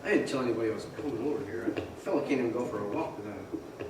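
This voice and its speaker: A man's voice: medium pitch, rapid-fire, natural voice. Echoey, restless young man in radio drama, acted. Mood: flustered. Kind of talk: old-time radio drama stage acting. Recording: echoey theater, distant mic, faint noise.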